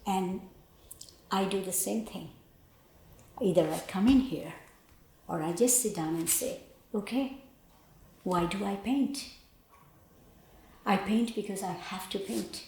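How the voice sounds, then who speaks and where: An older woman from Bangladesh with a Bangladeshi accent, reflecting on her life as a painter in a thoughtful, halting way with pauses. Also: mid-range voice, a little mellow, a little hiss or hum. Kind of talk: reflective personal interview. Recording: roomy, echoey indoor sound.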